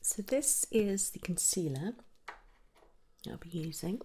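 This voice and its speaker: A woman speaks in a soft, breathy, half-whispered ASMR style, gently reassuring like an attentive make-up artist in a one-to-one roleplay, close to the microphone. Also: expressive, slow, slight background noise. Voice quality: breathy whisper mixed with soft low speech.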